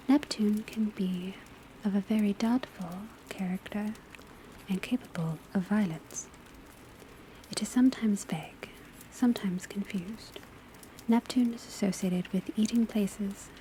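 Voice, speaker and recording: A woman giving a soft, breathy, mostly whispered ASMR classroom lecture in a measured, deliberate, instructive way. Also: medium pitch. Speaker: ASMR performer playing an astrology class teacher. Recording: steady ambient noise of rain and fireplace. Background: crackling fireplace, rain on rooftop, pencil writing.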